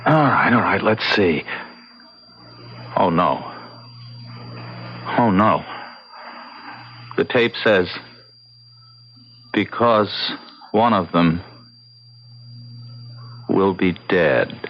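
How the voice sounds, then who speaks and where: A man's voice: low-pitched, lively intonation, drawn-out words, pauses often, exaggerated voice. An actor playing an enthusiastic, protesting young man devoted to his invention, in old-time radio drama style. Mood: uneasy. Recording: dull lo-fi radio recording, faint noise.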